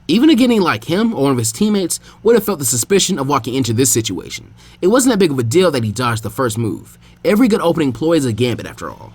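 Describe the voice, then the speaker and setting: Fast, lively male storyteller. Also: a little high, slightly rough. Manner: animated, quick narration. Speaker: fanfiction reader. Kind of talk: audiobook-style story narration.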